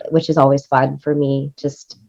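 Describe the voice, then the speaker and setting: A woman's voice: rumbling low, level tone, moderate pace, gravelly, darkish tone, muffled recording. A woman being interviewed about her work in production, talking in an enthusiastic, rambling way. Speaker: guest, music supervisor and producer.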